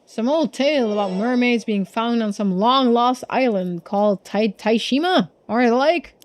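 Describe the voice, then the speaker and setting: A woman voicing a gruff, greedy older collector obsessed with immortality, talking bluntly and dismissively in video game dialogue. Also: fairly high pitch, lively intonation, normal speed, natural voice. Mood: skeptical. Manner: dismissive, blunt, folksy speech.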